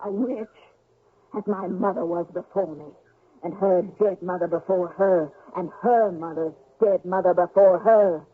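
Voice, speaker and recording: An actress in a radio drama playing a scheming witch and stepmother, proud and sly, with a slow, taunting delivery. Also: fairly low pitch, husky. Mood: proud, defiant. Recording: tinny, band-limited old radio broadcast.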